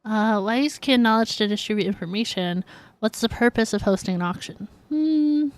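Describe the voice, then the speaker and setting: Clear voiced girl streamer chatting while gaming, laughs easy, playful, close dry sound. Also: mid-range voice, moderate pace, no echo. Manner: laughs easily, playful reactions. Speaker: livestreamer playing a video game. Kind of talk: casual chat with viewers while playing.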